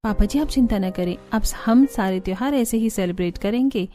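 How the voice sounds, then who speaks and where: A woman's voice: mid-range voice, brisk, warm tone, lightly acted. A woman voicing a cheerful, dutiful young daughter-in-law in a Hindi cartoon story. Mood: reassuring.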